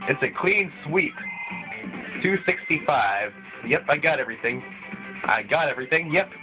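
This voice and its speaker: Male let's-player, rambling, a bit stuffy from a cold; mid-pitched, lo-fi tinny recording. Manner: rambling, with frequent restarts. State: voice thickened by a cold. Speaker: let's play gamer. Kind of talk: casual gameplay commentary. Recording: tinny, phone-like lo-fi mic. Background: video game music playing.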